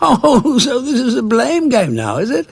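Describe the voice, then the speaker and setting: An actor playing a weary, bitter old alien war veteran in an audio drama, sardonic and indignant, with a gravelly, husky voice. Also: male voice, extremely high pitch, wildly swooping pitch, a little slow, full character voice. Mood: bitterly mocking.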